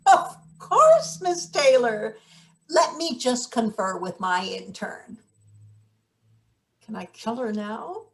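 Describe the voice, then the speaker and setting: A woman acting a high-voiced, wry maintenance worker. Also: big pitch swings, vocal fry, heavily acted. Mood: sardonic. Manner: sardonic, placating, quick to snipe. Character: wry, scheming female maintenance worker with hot temper. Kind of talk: live table read of satirical stage play.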